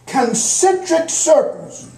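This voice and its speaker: An older man delivers a sermon in a bright, forceful voice. He places each phrase deliberately and with emphasis. The church room around him rings with echo. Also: very high voice, very animated. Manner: deliberate, emphatic preaching cadence. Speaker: guest preacher, minister. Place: church sanctuary, at the pulpit.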